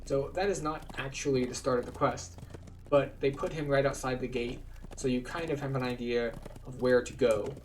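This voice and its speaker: A man's voice: medium pitch, fairly even intonation, moderate pace, distant mic. A man talks through a video game in an easygoing, explanatory way. He sounds a little away from the microphone, with faint noise in the recording. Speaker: video game tour guide and player. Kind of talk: casual gameplay commentary.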